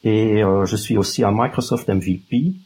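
A man's voice: fairly low pitch, normal speed, darkish tone, breathy edge. A Flemish man, a native Dutch speaker with a Flemish accent, explaining as an expert guest and often searching for words. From Flanders, Belgium. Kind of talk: explanatory interview talk.

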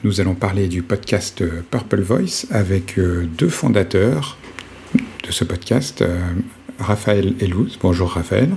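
A man hosting a podcast and introducing his guests in a measured way. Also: deep voice, lively intonation, vocal fry, darkish tone, a little hiss or hum. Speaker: podcast host.

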